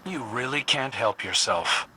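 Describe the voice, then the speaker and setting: An actor playing a wry, guarded fallen prince in a video game, dry and sardonic. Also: male voice, medium pitch, lively intonation, a little slow, bright, forceful tone, a little hiss or hum. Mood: annoyed.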